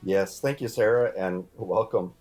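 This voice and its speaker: A man from California, a research scientist guest, speaking in a measured way with occasional fillers. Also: low-pitched, a little mellow, faint music behind. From California's San Joaquin Valley. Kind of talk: podcast interview answer.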